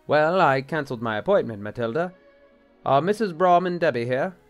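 An actor playing a proud, easily annoyed bishop with a formal, emphatic manner in a radio-style drama. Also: male voice, a little high, lively intonation, moderate pace, faint music behind, lightly acted. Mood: calling out.

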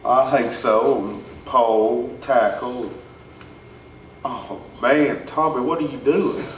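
A man's voice: mid-range voice, takes it slowly, muffled recording, natural voice. Man playing a goofy country boyfriend, far from mic. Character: impatient, goofy small-town country boyfriend, male. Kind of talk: staged comic radio-show reading. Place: theater stage before an audience. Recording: distant, echoey hall with faint noise.

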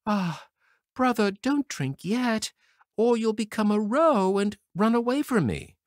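A man voicing a gentle, anxious young girl in a storybook reading, with a pleading, lilting manner. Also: sits high, very animated, takes it slowly, no room sound, clean studio sound, voice pushed up, heavily acted.

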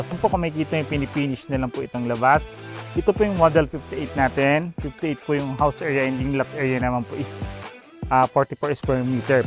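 A man in his thirties from the Philippines, an agent hosting a walking site tour outdoors on a lapel mic, talking in an upbeat sales patter full of fillers. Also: medium pitch. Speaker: real estate agent and channel host. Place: outdoor construction site, sunny and open. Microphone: clip-on lapel mic.

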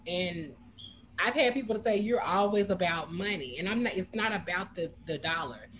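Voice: mid-range voice, moderate pace, fairly bright tone. A community organizer answering a panel question over a video call, earnest and storytelling, with many fillers. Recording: noisy video call audio.

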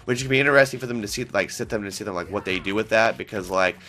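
A man's voice: lowish voice, rapid-fire, pushed and bright, slight background noise. A young man in his twenties with a cold and a congested voice, rambling and swearing as he hosts casual gameplay commentary into a headset in a small room at home. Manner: rambling, swears often, frustrated outbursts. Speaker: gaming channel host.

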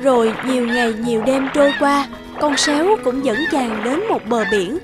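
High-voiced woman narrating a fairy tale. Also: normal speed, over loud music.

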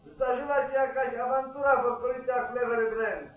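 An actor playing an anxious, deferential deputy who politely urges, in a 1940s radio drama. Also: male voice, extremely high pitch, steady tone, moderate pace. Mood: urgent. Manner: polite, urging. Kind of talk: 1940s Polish radio play acting. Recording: tinny, echoey old 1949 radio recording.

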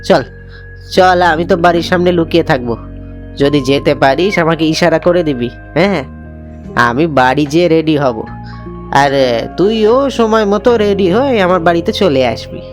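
A man's voice: fairly high pitch, expressive, moderate pace, faint music behind, natural voice. A man voicing a bold, coaxing village schoolboy with schemes, in a cartoon story. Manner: coaxing, confident.

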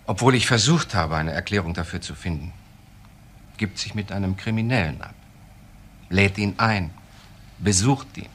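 An actor playing a cool, disciplined professor in a German crime radio drama, speaking in a measured, formal way. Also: male voice, low-pitched, fairly bright tone, a little hiss or hum. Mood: controlled bitterness. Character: disciplined, cool professor, bitter about his wife.

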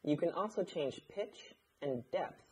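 A man, narrating a scripted tutorial in a measured, instructional way. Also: fairly high pitch, lively intonation, background noise. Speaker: audio engineer, instructor. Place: indoor studio, seated at a desk.

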